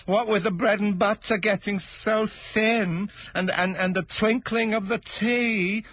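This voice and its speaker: A man voicing a nervous, stammering male hatter who speaks in a slow, trembling voice. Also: sits high, very animated, fairly bright tone, no room sound, pitched above the performer's own voice, heavily acted.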